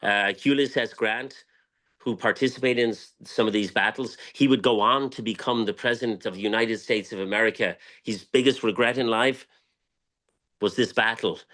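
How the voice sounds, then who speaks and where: A man's voice: on the low side, moderate pace, a bit bright. An Irish man telling history as an interview guest, speaking emphatically and passionately, repeating phrases for weight. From Ireland. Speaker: guest, tequila brand owner.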